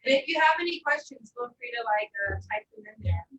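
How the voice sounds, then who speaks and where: A woman in her forties from Southern California, chatting with another host as a live stream opens. She is animated and exclamatory, and her voice is high and bright. It sounds roomy, with the mic set well away from her. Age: 40s. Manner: animated, exclamatory, talks in quick asides. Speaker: workshop co-host. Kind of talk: casual live-stream chat. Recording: roomy echo, distant from the mic.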